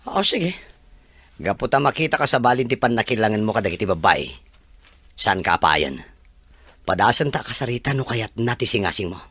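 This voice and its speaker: A man's voice: lowish voice, sing-song, a little fast, pauses often, fairly bright tone, breathy edge, no room sound, heavily acted. A man with a Filipino accent acting a frank engineer advising a friend, in a radio drama. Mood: persuasive.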